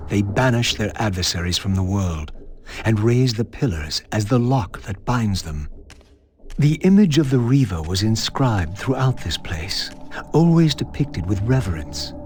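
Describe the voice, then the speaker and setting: A man's voice: on the low side, a little mellow. An actor playing a brooding undead wraith, once a noble vampire, narrating his quest in grave, formal language for a game. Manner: formal, grave, literary phrasing. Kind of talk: scripted dramatic narration. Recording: music behind.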